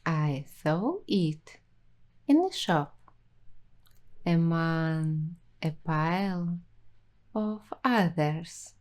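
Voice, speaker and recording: A woman hosting an ASMR roleplay, talking softly and slipping into whispers now and then. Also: low voice, expressive, very slow, long pauses. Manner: soft-spoken, slipping into whispers. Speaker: ASMR host.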